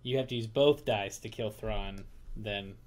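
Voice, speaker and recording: A hoarse man playing a tabletop card game as host, teasing his opponent, swearing and laughing often. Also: fairly low pitch, a little slow, a little hiss or hum. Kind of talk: casual banter during tabletop card game.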